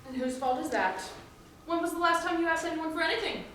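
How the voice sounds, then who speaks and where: Bright, forceful, bold young woman, acted on stage. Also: extremely high pitch, quick pace. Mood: wry, firm. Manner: assertive, wry. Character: bold, blunt young woman, protective sleuth friend. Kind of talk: musical comedy stage acting. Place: live staged reading before audience. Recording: echoey stage, distant mic, faint noise.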